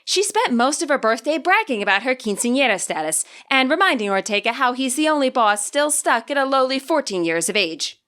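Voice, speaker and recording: Brief: woman author narrating her fan story, lively and bright. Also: sits high, fairly quick, few pauses. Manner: expressive, animated reading. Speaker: fanfiction author and podfic reader.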